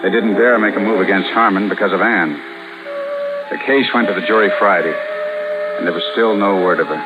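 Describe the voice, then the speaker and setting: Man acting a low-voiced, troubled husband in radio suspense. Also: brisk, close to an everyday voice. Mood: somber, weary. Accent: American. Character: troubled husband, a juror, narrating his own crime tale. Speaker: radio drama actor and narrator. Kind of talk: 1950s radio suspense acting with first-person narration. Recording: dull old radio recording with loud music.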